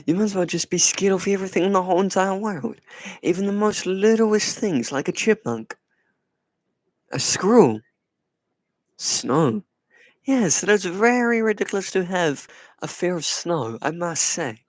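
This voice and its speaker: A man hosting a casual livestream, playfully teasing and answering his chat in a soft, put-on voice. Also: high voice, animated, a little slow, no room sound. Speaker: livestream host. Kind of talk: casual live chat with viewers.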